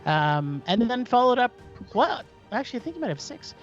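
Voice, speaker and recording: A man's voice: extremely high pitch, big pitch swings, fairly quick, lo-fi sound, faint music behind. A man hosting a casual movie podcast, enthusiastic and stumbling over foreign film titles. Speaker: podcast host.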